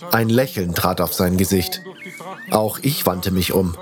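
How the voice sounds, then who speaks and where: Ein Mann liest als Ich-Erzähler eine Fantasy-Geschichte nach Skript. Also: male voice, low-pitched, a little slow, over music. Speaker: first-person narrator and protagonist. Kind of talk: scripted fantasy audiobook narration.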